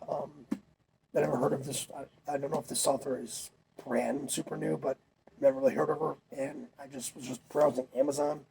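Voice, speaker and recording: A man's voice: medium pitch, vocal fry, darkish tone, a little hiss or hum, poor audio. A young man talking casually, a little congested while he gets over a cold, rambling with fillers and restarts. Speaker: vlogger. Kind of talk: casual chat.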